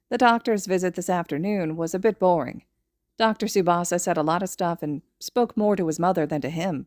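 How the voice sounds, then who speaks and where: Low-pitched woman narrating, very dry sound. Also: a little mellow, no room sound. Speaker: podfic narrator. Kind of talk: scripted story narration.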